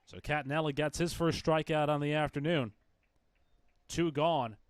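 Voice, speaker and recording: A man's voice: on the high side, moderate pace, dry recording. Male baseball play-by-play commentator, dry sound. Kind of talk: live sports commentary.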